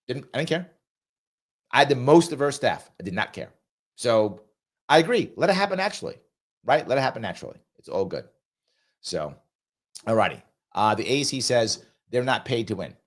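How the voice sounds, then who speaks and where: A man hosting a political talk show, reading out viewer comments and answering them bluntly, repeating his points, with a cough he is getting over. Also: fairly low pitch. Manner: blunt, emphatic, repeats himself for emphasis. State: lingering cough.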